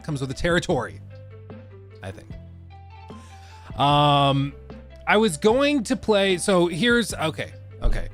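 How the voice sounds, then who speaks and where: A man's voice: a little high, very animated, slow pace, long pauses, fairly bright tone, soft background music. A young adult man with a stuffed-up nose, hosting a casual live stream and rambling with lots of fillers. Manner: rambling, chatty, many fillers.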